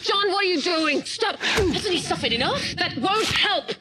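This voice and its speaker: An alarmed woman protests in a high, swooping voice in a sci-fi drama. The TV clip is clearly noisy. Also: animated, normal speed. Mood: distressed protest. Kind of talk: TV science fiction drama acting.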